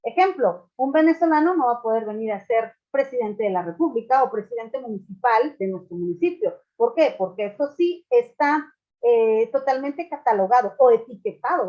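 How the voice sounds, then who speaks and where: High-voiced Mexican woman teaching online. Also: a little mellow. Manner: explanatory, rhetorical questions to students. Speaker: law teacher. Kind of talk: online class lecture. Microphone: video call. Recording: dull lo-fi video call audio.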